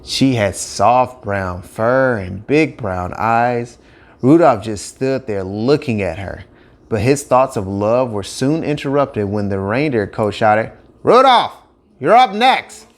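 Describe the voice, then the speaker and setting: A man narrating a scripted children's story with expressive, emphatic phrasing. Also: on the low side, slow pace.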